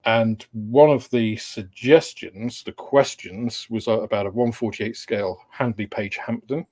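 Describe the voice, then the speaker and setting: A man's voice: fairly low pitch, measured pace, a little mellow. A man hosting a casual livestream chat, welcoming viewers in a meandering, hesitant way with frequent filler sounds and light chuckles. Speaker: host of a model-building livestream.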